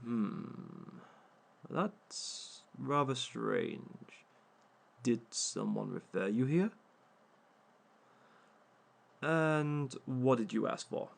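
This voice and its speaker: A man's voice: mid-range voice, expressive, gravelly, full character voice. A man playing a smug male villain who hosts a dating workshop, speaking in a dry, teasing way to an unseen audience. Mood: wry. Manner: dry, teasing, unhurried, talks to unseen listeners. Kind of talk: audio roleplay monologue.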